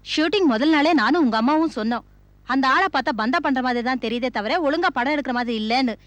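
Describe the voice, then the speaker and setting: Anxious young wife, TV serial played by a woman: high voice, tentative and respectful, close dry sound. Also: fast, dry recording, muffled recording, natural voice. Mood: pleading advice. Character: anxious, deferential young wife of a film producer. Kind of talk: Tamil television serial acting.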